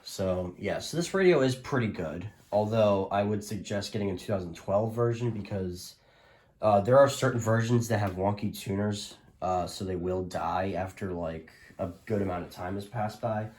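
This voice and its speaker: Casual male collector-presenter, lowish pitch, hesitant and rambling, informal product showcase delivery. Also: moderate pace, darkish tone.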